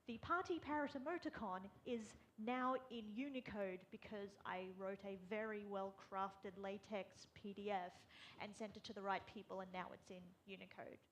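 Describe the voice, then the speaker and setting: A woman's voice: mid-range voice, fairly even intonation, normal speed, noisy background. A woman with an Australian accent, a developer relations engineer, talking to a live conference audience with dry humor. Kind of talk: casual talk to a live audience. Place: conference room on stage.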